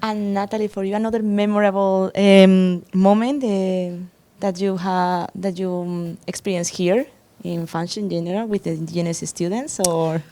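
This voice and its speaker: A woman's voice: mid-range voice, steady tone, moderate pace, dark tone. A woman from Spain with a Spanish accent, hosting a conversational podcast interview. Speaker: podcast host.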